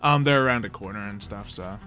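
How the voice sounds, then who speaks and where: A man with a bright, forward voice runs a tabletop fantasy game as game master. He explains the rules and describes the action in a casual, conversational way, with faint music playing behind him. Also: fairly low pitch, quick pace.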